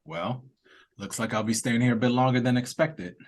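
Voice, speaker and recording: A man's voice: medium pitch, moderate pace, lightly acted. A man playing a chatty late-night diner customer in a casual audio drama read-through over a video call. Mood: wry.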